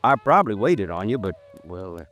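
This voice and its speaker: Halting, low-voiced folksy bartender. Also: male voice, sing-song, a bit bright, soft background music. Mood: polite, hesitant. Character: deferential, hesitant male hotel bartender, folksy and uneducated. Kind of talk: old-time radio drama acting.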